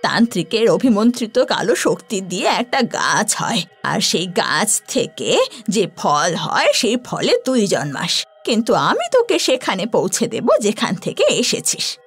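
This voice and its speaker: নারী কণ্ঠে কড়া মেজাজের গ্রাম্য বৃদ্ধা. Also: female voice, medium pitch, very animated, measured pace, slightly rough, soft background music, exaggerated voice. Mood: defiant anger. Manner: sharp, emphatic scolding. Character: stern, scolding oldest village woman with spell powers.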